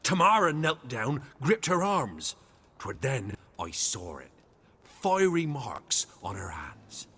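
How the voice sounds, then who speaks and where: Animated, husky poor peasant. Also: male voice, mid-range voice, takes it slowly, full character voice. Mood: haunted, awed. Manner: rustic dialect grammar, deferential, dramatic storytelling. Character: poor, humble peasant man, fearful and earnest storyteller. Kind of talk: video game voice acting.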